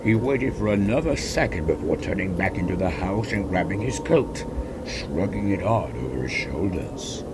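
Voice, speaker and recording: A man's voice: low-pitched, animated, normal speed, noisy background. A man reading a story aloud as a scripted narration. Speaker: fan fiction reader, narrator.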